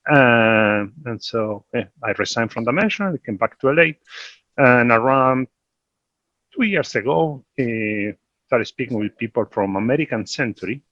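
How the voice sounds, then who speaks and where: A man in his fifties from Argentina with an Argentine Spanish accent, an investment firm executive introducing himself in a relaxed, self-deprecating way on a video call. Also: fairly low pitch, no room sound, highs cut off. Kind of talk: casual panel introduction. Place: home office in Los Angeles, video call.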